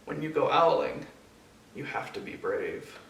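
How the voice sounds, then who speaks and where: A man with a fairly high, creaky voice reads a children's story at an unhurried pace. He pauses deliberately between phrases. The sound is roomy and a little distant from the mic. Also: animated, fairly bright tone. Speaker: storybook reader. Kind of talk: scripted children's picture-book narration read aloud. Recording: echoey room, distant mic, faint noise.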